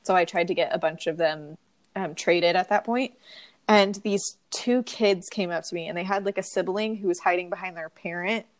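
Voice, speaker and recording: A woman's voice: on the low side, moderate pace. A young woman co-hosting a podcast, telling a story in a chatty, filler-heavy way and sniffly from crying. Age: young adult. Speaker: podcast co-host. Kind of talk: casual chat, storytelling.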